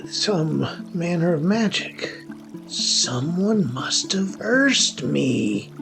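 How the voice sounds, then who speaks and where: A man voicing an anxious, boastful, lovestruck wizard in an audio story, with a flustered, halting delivery. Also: fairly high pitch, very animated, vocal fry, over music, full character voice, rough recording. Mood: baffled. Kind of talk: audio drama character acting.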